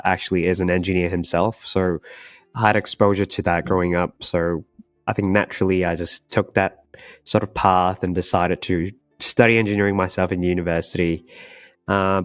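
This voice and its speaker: Smooth, low-voiced young man from Malaysia. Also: no echo, studio quality. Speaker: startup co-founder, podcast guest. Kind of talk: casual self-introduction in an interview.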